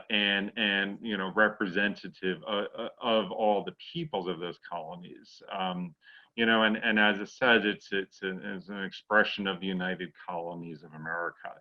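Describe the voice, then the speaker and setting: A man giving a halting, explanatory answer as a discussion guest, often repeating words as he goes. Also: lowish voice, dull audio. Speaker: guest author.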